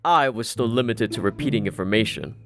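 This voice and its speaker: A man's voice: lowish voice, brisk. A man narrating a scripted fantasy story in the manner of an audiobook. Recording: music behind the voice. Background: background music.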